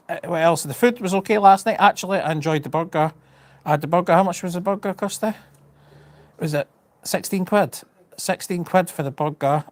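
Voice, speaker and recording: A man's voice: on the high side, no echo. A man with a Scottish accent chatting casually to his live stream, rambling and frank, with a slight stutter and repeated words. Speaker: travel vlogger on a live stream. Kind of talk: casual chat.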